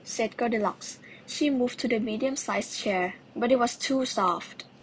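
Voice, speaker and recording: A female narrator reads a scripted children's fairy tale. Her pitch is a bit high, and clear background noise runs under the recording. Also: measured pace, poor audio.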